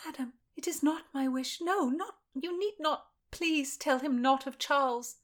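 A frightened young maid of honour begs for mercy in a high, breathless half-whisper, as a woman puts her on. Her pleading runs fast and strained. It is a period audiobook reading.

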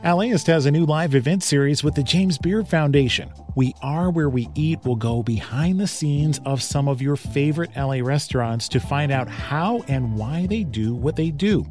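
A man's voice: on the high side, expressive, normal speed, few pauses, a little mellow. A man reading a scripted radio promo as a host and announcer. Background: faint music.

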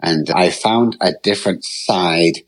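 A middle-aged man from Manchester speaks with a husky, slightly low voice. He tells his story as a podcast guest, open and earnest, drifting from one memory to the next. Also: slow. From England.

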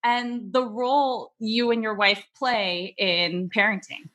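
A woman's voice: a little high, steady tone, slow pace, a bit bright. A woman hosting an interview, asking questions with a teasing, playful manner. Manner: teasing, laughs easily. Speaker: podcast host. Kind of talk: casual interview.

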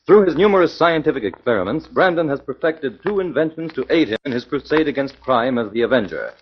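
A man's voice: mid-range voice, lively intonation, brisk, slight background noise. Dramatic old-time radio announcer man. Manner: dramatic, declaiming. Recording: dull 1940s radio with music.

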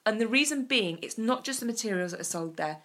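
A woman's voice: on the high side, lively intonation, fast talker, fairly bright tone. A woman co-hosting a casual chat, talking with eager emphasis about design. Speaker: podcast co-host.

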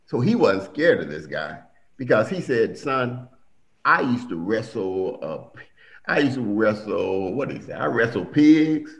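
A middle-aged man telling family stories in a casual conversation, animated and acting out quotes. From Milwaukee. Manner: animated storyteller, acts out quotes with big pitch jumps.

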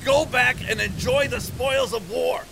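A man voicing a desperate, weary army commander who pleads and shouts, over background music. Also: very high voice, measured pace, gravelly, background noise, pitched above the performer's own voice, exaggerated voice. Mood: pleading.

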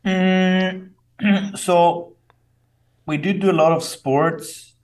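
A young adult man from Austria, bilingual in English and German, answering interview questions in a thoughtful, measured way with fillers and restarts. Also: high-pitched, fairly even intonation, a little mellow, distant mic. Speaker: company co-founder, guest.

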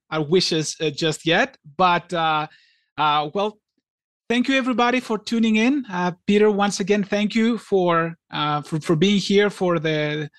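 A man from Greece co-hosting a podcast in casual chat, talking haltingly with many restarts and fillers. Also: high-pitched, fairly bright tone, no room sound, clean studio sound. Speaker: podcast co-host.

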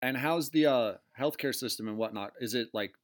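A man's voice: mid-range voice, brisk. A man hosting a casual interview podcast from home, chatty and laughing often. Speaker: podcast host. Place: home in Delaware.

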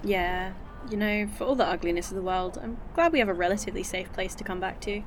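A woman's voice: medium pitch, quick pace, faint music behind, natural voice. A woman playing an earnest young scientist displaced in time, in an audio drama.

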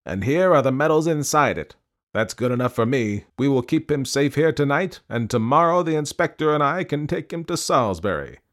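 A man's voice: medium pitch, lively intonation, a little fast, pitched above the performer's own voice, close to an everyday voice. Man doing a blunt village constable. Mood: satisfied. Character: gruff middle-aged village constable.

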